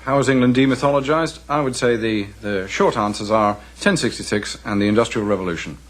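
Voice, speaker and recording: A man's voice: medium pitch, fairly quick. A man giving explanatory interview commentary in an academic, to-the-point way. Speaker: literary commentator.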